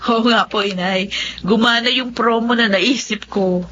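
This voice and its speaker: A woman's voice: a little high, fairly even intonation, a little slow, a bit bright, slight background noise. A woman acting an earnest, devoted young woman in a Tagalog radio drama. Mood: hopeful, grateful. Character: earnest young woman rebuilding her life, devoted daughter. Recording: muffled radio drama audio over music.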